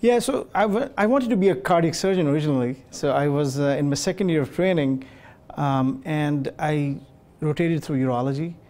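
A middle-aged man from Pakistan, a urologist, answering questions in a studio interview in a measured, explanatory way with many fillers, on a lapel mic. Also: a little high, a little mellow. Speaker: urologist guest. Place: television studio set.

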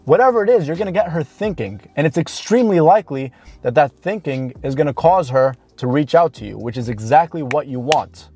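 A man's voice: on the high side, lively intonation, a little mellow. A man of around thirty gives blunt, informal, emphatic coaching advice straight to camera. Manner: blunt, informal, emphatic with drawn-out key words. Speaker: dating coach. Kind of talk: direct-to-camera advice talk. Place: inside a parked car. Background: background music.

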